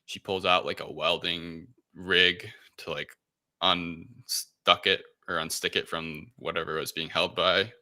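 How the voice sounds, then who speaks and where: The deep-voiced guy at the head of a movie podcast, feeling his way through a recap in a sharp, forward tone. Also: little pitch movement, unhurried. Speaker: podcast host. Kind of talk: casual film review discussion.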